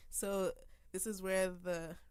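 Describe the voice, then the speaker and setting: A woman's voice: lowish voice, level tone, slow pace, darkish tone, clean tone, no echo. A young woman from Africa hosting a casual podcast conversation, explaining her views at length in a thoughtful way. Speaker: podcast host.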